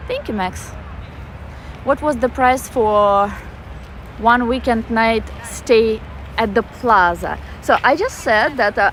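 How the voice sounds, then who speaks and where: A woman's voice: on the high side, fairly bright tone, a little hiss or hum. A woman with a Russian accent chatting casually while walking outdoors, reading out viewer comments on a phone livestream. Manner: chatty, reads viewer comments aloud with fillers. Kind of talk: casual live-stream chat reading comments. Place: outdoors in a city park.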